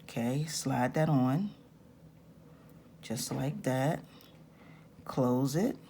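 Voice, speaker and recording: Woman, slightly raspy, unhurried step-by-step craft teaching, faint hiss behind. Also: rumbling low, mellow. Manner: slow, patient step-by-step instructions. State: raspy-voiced this morning. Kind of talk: hands-on craft tutorial.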